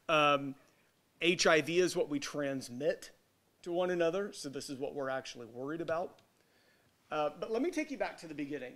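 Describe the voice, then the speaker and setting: A man with a cold giving an informal, interactive university lecture in a loud voice that drops into quiet asides. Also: on the high side. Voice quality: loud, projecting voice. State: has a cold. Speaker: guest lecturer, medical researcher. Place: lecture hall. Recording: echoey lecture hall.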